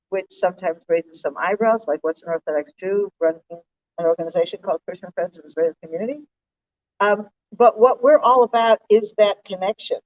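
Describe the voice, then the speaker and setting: A woman's voice: medium pitch, darkish tone. An American woman co-hosting a live talk from a stage, speaking in an articulate, conversational way to an audience. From United States. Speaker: co-host, organization director. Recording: distant, dull live-event sound.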